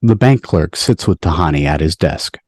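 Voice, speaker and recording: A man with a deep voice reads scene directions from a script. The sound is dry, with little room in it, like a home video call. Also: expressive, normal speed, darkish tone, no echo. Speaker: stage directions reader. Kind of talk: scripted narration at a table read. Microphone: video call microphone.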